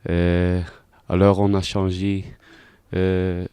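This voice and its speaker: Low mellow guy, slow halting french, interview, searching for words. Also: completely flat delivery, clear, resonant tone, no room sound. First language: Dutch. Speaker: actor. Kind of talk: interview, recounting.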